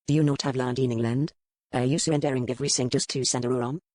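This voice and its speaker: A man playing a smooth, probing French merchant with a French accent in a historical romance audiobook. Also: mid-range voice, fairly even intonation, quick pace, darkish tone, no echo, close to an everyday voice. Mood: insistent, disapproving. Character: suave, probing French merchant in colonial port. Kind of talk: dramatised audiobook dialogue.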